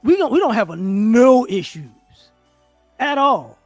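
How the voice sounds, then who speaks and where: A man's voice: very high voice, unhurried, no echo, soft background music. A man co-hosting a live stream, talking casually in slang, telling stories with lots of restarts. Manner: slangy, animated storytelling with many restarts. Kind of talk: casual discussion. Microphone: remote call setup.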